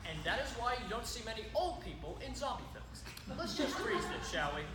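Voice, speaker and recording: A woman's voice: on the high side, very animated, fairly quick, background noise. A woman playing an earnest, self-important presenter of a zombie survival announcement on stage, mock-serious and declamatory. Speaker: presenter narrating the play. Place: stage in a hall. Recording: echoey stage recording.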